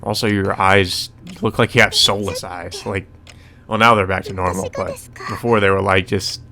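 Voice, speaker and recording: A man's voice: deep voice, fairly even intonation, moderate pace, bright, forceful tone. Casual man reading a visual novel over music. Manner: casual, profane asides. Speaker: let's play host. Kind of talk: visual novel read-aloud with casual commentary.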